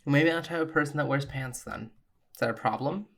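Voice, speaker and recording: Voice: mid-range voice, fast, vocal fry. A tabletop player voicing a defensive, easily needled youngster with a blunt manner.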